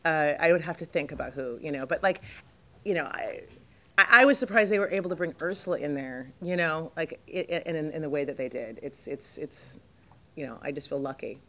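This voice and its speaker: A middle-aged woman with a low voice, jet-lagged, chatting in an interview as the guest actress, rambling with lots of fillers and restarts. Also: a little fast, a bit bright, slight background noise. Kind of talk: casual interview chat.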